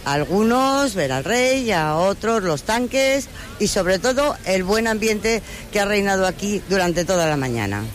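A woman from reporting live from a street parade, lively and bright. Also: medium pitch, measured pace, slight background noise. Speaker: field reporter.

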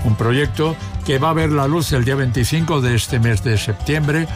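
A man's voice: medium pitch, moderate pace. A man reading a music news item as a radio presenter, conversational and lightly opinionated. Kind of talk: scripted music review narration.

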